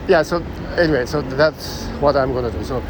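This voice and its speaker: A man with a Japanese accent, thinking aloud in a halting way, full of fillers, while walking along a busy city street. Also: mid-range voice. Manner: thoughtful, halting, many fillers. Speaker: vlogger. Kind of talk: musing monologue while walking. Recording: loud street traffic behind.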